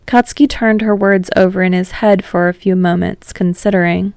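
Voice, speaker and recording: A woman's voice: medium pitch, fairly even intonation, moderate pace, gravelly, darkish tone, no echo, studio quality. A woman reading scripted fiction aloud as a narrator.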